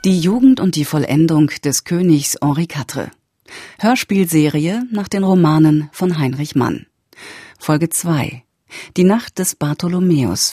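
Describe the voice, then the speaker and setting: Announcer reading credits, formal, mellow husky voice, takes it slow. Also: medium pitch, no echo, studio quality. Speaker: radio announcer reading titles and cast.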